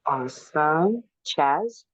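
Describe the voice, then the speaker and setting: A woman's voice: low voice, lively intonation, drawn-out words, slightly rough, no echo, lo-fi sound. A woman hosting a dating show, calling on guests one by one with short cheerful acknowledgements.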